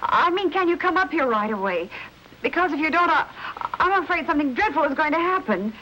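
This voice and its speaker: An actress playing a fretful, inquisitive young wife and amateur sleuth in an old-time radio mystery. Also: female voice, animated, a little fast, pushed and bright, slight background noise. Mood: frightened. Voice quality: high-pitched. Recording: muffled old radio broadcast, music.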